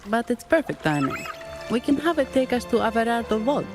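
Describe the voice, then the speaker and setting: Friendly young adventurer, medium pitch. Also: very animated, fairly quick. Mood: curious, cheerful. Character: friendly, upbeat young adventurer guiding companions. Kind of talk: video game voice acting. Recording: game audio under music.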